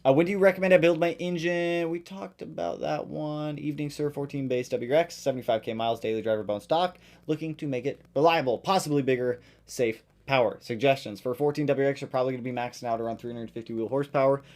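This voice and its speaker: A man hosting a live stream, reading out viewer questions and answering them in a loose, chatty way. He greets regulars with sudden loud, high bursts of excitement. His voice sounds a little worn after a long session. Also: quick pace, hardly any pauses. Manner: reads viewer comments aloud and answers them in a relaxed, chatty way; greets viewers loudly with sudden jumps in pitch. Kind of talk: casual live stream Q&A about cars.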